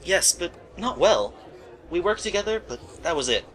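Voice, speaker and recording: A man's voice: high-pitched, sing-song, normal speed, a bit bright, low-quality recording. A man playing an anxious young store clerk in an amateur radio play, earnest, agitated and defensive.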